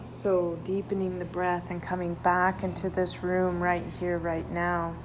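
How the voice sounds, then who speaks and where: A woman leading a guided meditation, soothing, with drawn-out phrases and long pauses between them. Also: fairly low pitch, monotone, background noise.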